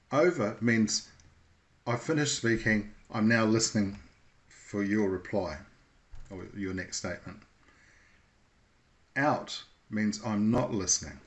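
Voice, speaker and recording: Low-pitched man giving informal instruction. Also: unhurried, long pauses.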